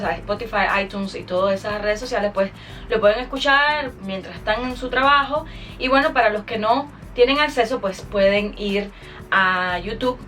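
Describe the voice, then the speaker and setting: A woman's voice: medium pitch, fairly bright tone. Cuban woman chatting casually, slightly distant mic. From Cuba. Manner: chatty, informal, with English interjections. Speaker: podcast host and singer. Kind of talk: casual monologue to followers.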